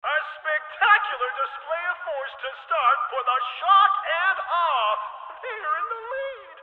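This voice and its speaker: An excitable, teasing arena announcer for a video game, pitched extremely high. The delivery is slow, showy and theatrical, full of playful exclamation. It rings out through a tinny PA with long reverb. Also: male voice, soft background music. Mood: excited, teasing. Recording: tinny band-limited PA, long echoing reverb.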